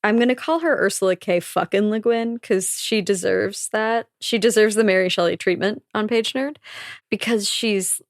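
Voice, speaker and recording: Gravelly-voiced woman gushing about a book. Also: medium pitch, moderate pace, no echo, studio quality. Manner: enthusiastic, swears for emphasis. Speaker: podcast co-host. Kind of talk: casual book discussion.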